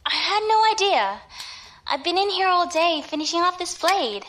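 A woman's voice: very high voice, sing-song, normal speed, very noisy. A woman playing a sweet, earnest young swordsmith's daughter in an audio drama, given to exclamations. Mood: earnest, apologetic.

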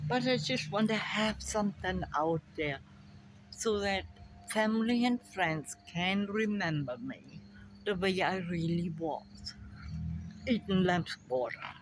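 An older woman with a German accent, musing slowly to a phone camera in her backyard about memory and family. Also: on the low side, slight background noise, poor audio. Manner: slow, musing, drifting between thoughts. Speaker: vlogger. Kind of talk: rambling personal monologue to camera. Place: backyard outdoors by a fence. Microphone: phone camera at arm's length. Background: crickets and insects.

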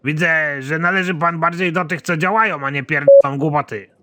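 An actor playing a foul-mouthed, middle-aged army general in an animated comedy, blunt and sardonic with a pompous streak. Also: male voice, fairly high pitch, fairly quick. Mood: brusque, sardonic. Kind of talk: animated comedy series dialogue.

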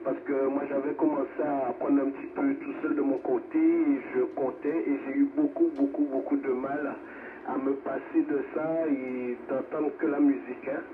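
A man's voice: medium pitch, fairly even intonation, moderate pace. A man chatting informally as an amateur radio operator, heard over the air. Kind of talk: casual radio chat. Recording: noisy narrow-band radio reception.

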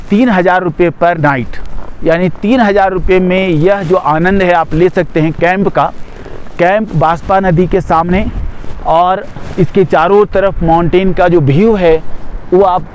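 A middle-aged man narrating a travel vlog outdoors, explaining the sights plainly and speaking straight to viewers. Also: sits high. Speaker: travel vlogger. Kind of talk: casual travel narration to camera. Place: outdoors by a mountain river and tent camp. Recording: dull lo-fi sound with loud outdoor noise.

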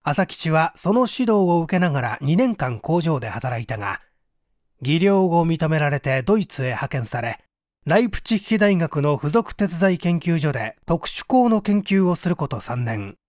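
A man reading a mystery novel aloud as scripted narration, measured and expressive. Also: on the high side, dry recording, clean studio sound. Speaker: audiobook reader.

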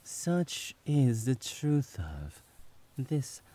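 Man doing doting devoted servant, very slow, mellow whispers, ASMR roleplay. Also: medium pitch, sing-song, heavily acted. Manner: soft whispering, affectionate and soothing. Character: doting young male servant, devoted and tender.

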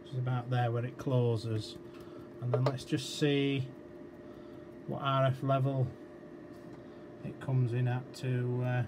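A man giving an informal hands-on technical walkthrough, halting and thinking aloud as he works at a bench. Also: medium pitch, flat delivery. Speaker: electronics repair demonstrator. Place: indoors at an electronics workbench. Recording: very noisy amateur room recording, loud hiss. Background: loud electronic noise.

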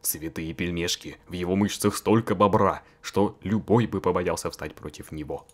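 A man's voice: deep voice, normal speed. A man narrating a fan-voiced visual novel in a joking, mocking way, full of crude slang and frequent laughter.

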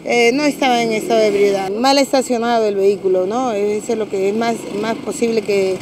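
A middle-aged woman with a Peruvian accent, a municipal security official giving a measured, official statement to reporters outdoors on a street, hesitating with fillers and restarts. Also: a little high, fairly even intonation, background noise. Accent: Peruvian Spanish. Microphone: several handheld press mics.

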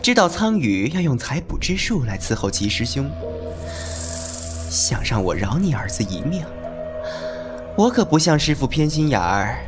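A man playing a venomous, mocking young disciple in a Chinese martial-arts radio drama, sneering and mock-polite. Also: medium pitch.

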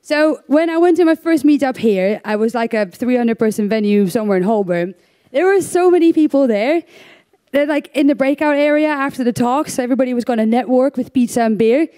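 A woman's voice: sits high, expressive, a little fast, mellow. A young woman from the Netherlands giving a conference talk on stage, chatty and self-deprecating with lots of fillers and restarts. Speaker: conference speaker.

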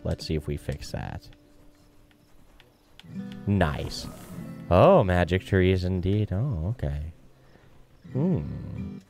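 Male playthrough host: casual, unhurried commentary, slightly low voice, music behind. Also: a little mellow. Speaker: gaming host. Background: game music.

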